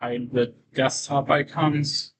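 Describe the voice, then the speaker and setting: A man doing casual livestream commentary on a game, reading on-screen text aloud and halting to break into asides. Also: medium pitch, fairly even intonation.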